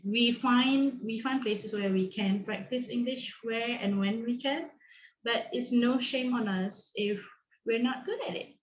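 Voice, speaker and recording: A woman's voice: medium pitch, echoey room, lo-fi sound. A woman from Malaysia hosting an online panel discussion, reflective and hesitant, with many fillers. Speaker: host. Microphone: video call.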